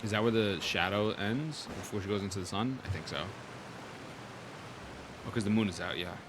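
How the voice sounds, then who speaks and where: A man's voice: lowish voice, moderate pace, background noise. A young man giving casual, slangy reactions as he streams a game, muttering asides. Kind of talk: casual gameplay commentary. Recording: game music under the voice. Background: video game music.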